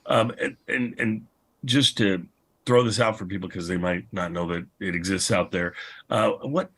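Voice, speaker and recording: A man's voice: deep voice, normal speed, fairly bright tone. A man hosting a casual panel discussion, enthusiastic and rambling. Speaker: panel host.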